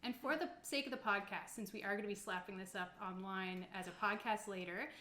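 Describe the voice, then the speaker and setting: A woman's voice: mid-range voice, brisk, pushed and bright. A woman hosting a tabletop roleplaying session as game master, chatting casually with her players. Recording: roomy echo.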